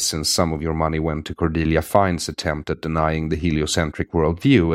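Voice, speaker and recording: Swedish guy hosting a podcast, super low voice, dry sarcastic delivery. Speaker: podcast host. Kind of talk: read intro then casual conversation.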